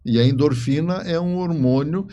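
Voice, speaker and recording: A man with a Brazilian accent talking casually as an interview guest, explaining things haltingly and often repeating himself. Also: a little high, mellow, highs cut off. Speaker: podcast guest, novelist. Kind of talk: casual chat.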